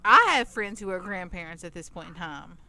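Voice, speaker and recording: A woman's voice: fairly low pitch, expressive, brisk, pushed and bright. A woman in her thirties co-hosting a podcast, telling a story in casual chat and acting out the people she quotes. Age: 30s. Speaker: podcast co-host.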